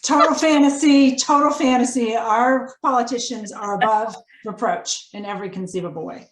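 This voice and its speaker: A woman's voice: on the high side, moderate pace. A woman chatting casually as a guest author, with a roomy, echoing sound. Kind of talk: casual chat.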